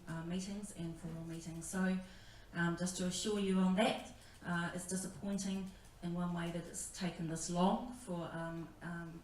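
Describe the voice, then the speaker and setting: A woman on a community board making halting, conciliatory remarks at a public meeting. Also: on the low side, a little mellow. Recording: echoey hall, distant mic, faint noise.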